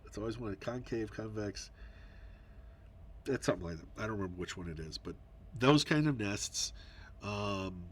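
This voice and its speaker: A somewhat low-pitched man talks through his moves in a digital board game, sounding congested and slightly nasal. He rambles as he thinks aloud, with frequent ums and restarts. A faint hiss sits under the recording. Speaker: board game video player. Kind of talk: casual gameplay commentary.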